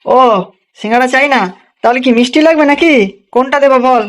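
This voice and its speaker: A woman voicing an expressive village sweet-shop uncle, familiar and quick-tempered, in a children's cartoon. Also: sits high, natural voice. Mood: friendly. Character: busy village sweet-shop man, friendly but quick to anger.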